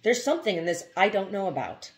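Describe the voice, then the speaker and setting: A woman voicing a thoughtful young mother and protective wife in a storybook, gentle and questioning. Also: medium pitch, big pitch swings, quick pace, voice pushed up, natural voice. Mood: worried.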